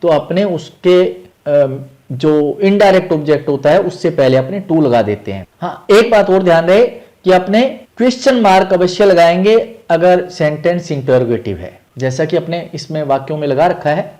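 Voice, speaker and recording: A man's voice: a little high, animated, moderate pace, darkish tone. A man with an Indian accent explaining grammar as a teacher, methodically repeating each rule step by step.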